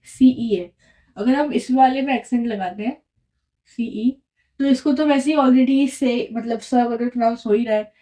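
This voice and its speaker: A woman's voice: a little high, warm tone, low-quality recording. Patient woman teaching. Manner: patient, repeats and checks in with "right?". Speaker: French teacher. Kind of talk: explaining a lesson at a whiteboard.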